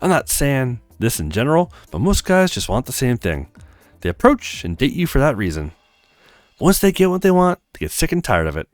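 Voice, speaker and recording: A man's voice: medium pitch, wildly swooping pitch, normal speed, no room sound, faint music behind. A man voicing a friendly, frank young female handywoman in a visual novel, breezy and reassuring. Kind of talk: acted visual novel read-aloud.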